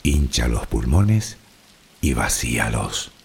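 A man from the Canary Islands with a Canarian Spanish accent, narrating a guided sleep relaxation in a soft, unhurried, soothing way. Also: extremely low pitch, sing-song, creaky, breathy edge.

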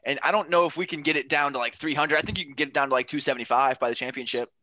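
A man hosting a casual podcast, throwing quick jokes and quips. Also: fairly high pitch, fairly even intonation, sharp tone, no room sound, low-quality recording. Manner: joking, quick quips, laughs often. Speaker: podcast host.

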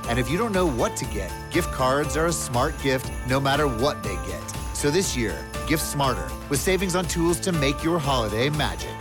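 A man announcing a scripted commercial in a smooth, upbeat pitch. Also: animated, normal speed, few pauses. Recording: jingle music under voice.

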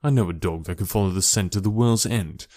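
A composed, analytical detective from a storybook, read by a male narrator. The voice is fairly low and recorded close and dry. He lays out his reasoning precisely, in a quiet, instructive manner. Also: brisk, no echo. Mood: brisk, keen.

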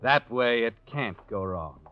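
A man's voice: medium pitch, animated, measured pace, slight background noise, lightly acted. A man acting as a knowing, sinister narrator in 1940s radio suspense style, addressing the listener insinuatingly as you. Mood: knowing, ominous. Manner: insinuating second-person address. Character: knowing, sinister male narrator voicing a schemer's conscience. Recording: dull old radio recording with music.

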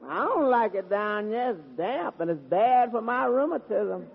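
A man's voice: high-pitched, animated, moderate pace, creaky. A man with a Southern American accent playing a hapless, complaining man swallowed by a whale, in folksy, stammering 1940s radio comedy style. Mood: sheepish, grumbling. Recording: tinny, echoing, noisy old radio recording.

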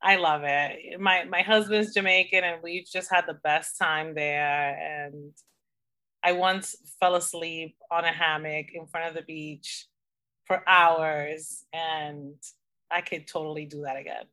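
A woman's voice: deep voice, fairly even intonation, measured pace, sharp tone. A woman from the Dominican Republic speaking as an interview guest, telling personal stories in a candid, informal, conversational way.

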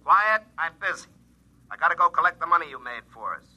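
A bossy, know-it-all caller in an old radio play. His voice is piercingly bright and rather high, filtered like a telephone, and he gives orders with brusque, smug certainty. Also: expressive, fairly quick, muffled recording, heavily acted. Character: bossy, knowing future self of a repairman. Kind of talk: classic radio drama acting.

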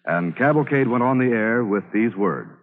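A man's voice: medium pitch, expressive, moderate pace, slight background noise. A man announcing in a polished, ceremonious 1950s radio style. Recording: muffled old radio, faint music.

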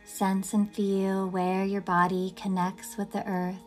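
A woman guides a relaxation practice at a very slow pace, leaving long pauses between phrases. Her voice is medium in pitch and her manner is gentle and soothing. Faint music plays behind her. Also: monotone. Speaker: yoga nidra guide. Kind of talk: guided meditation narration.

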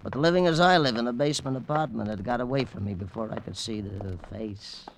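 A man acts out a gruff, nosy janitor from a vintage radio crime play. He grumbles in rough working-class phrasing and stammers as he thinks, at a middling pitch. The old recording is clearly noisy. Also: a little fast, close to an everyday voice. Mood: grudging, shifty. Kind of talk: old-time radio crime drama acting.

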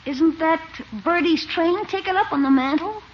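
A woman's voice: extremely high pitch, moderate pace. A woman voicing a brash, wisecracking young nephew in a radio comedy. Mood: scheming. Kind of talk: 1950s radio situation comedy acting. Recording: old muffled radio recording, faint hiss.

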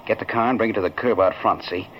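An actor playing a shrewd, curt small-time crook with slangy speech, in 1940s radio style. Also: male voice, on the low side, quick pace, lightly acted. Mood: sly, menacing. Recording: muffled, noisy old radio recording.

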